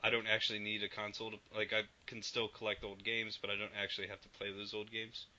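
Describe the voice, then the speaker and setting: Man, podcast co-host, rambling casual talk, bright sharp tone, lo-fi muffled audio. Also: fairly low pitch, monotone, fast. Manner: rambling, swears casually, laughs often. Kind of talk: casual chat. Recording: dull lo-fi podcast audio with faint noise.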